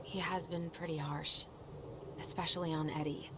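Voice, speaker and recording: A woman's voice: sits low, flat delivery, moderate pace, background noise. A woman playing an anxious, weary young American woman in a video game, speaking in a hesitant, subdued way. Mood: polite, guarded. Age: young adult. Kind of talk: naturalistic video game dialogue.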